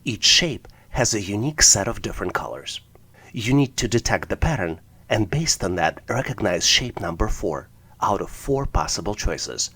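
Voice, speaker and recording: A man's voice: on the low side, expressive, normal speed, bright, forceful tone, husky. A man narrating an explanatory tutorial as an instructor, walking through things methodically, step by step. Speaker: test-prep instructor.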